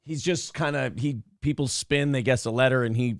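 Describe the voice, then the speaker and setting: A man hosting a radio show, reading out chat messages in a hoarse, raspy voice, rambling with restarts and frequent swearing. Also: medium pitch, steady tone, moderate pace, no room sound, clean studio sound. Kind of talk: casual chat.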